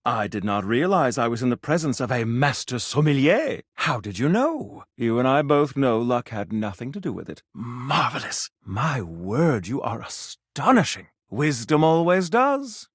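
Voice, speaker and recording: A man's voice: medium pitch, very animated, unhurried, few pauses, slightly rough, no echo, studio quality. An actor voicing a pompous, theatrical male food critic for a video game, speaking in a florid, haughty way and savoring each word. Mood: cordial. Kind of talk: video game character acting.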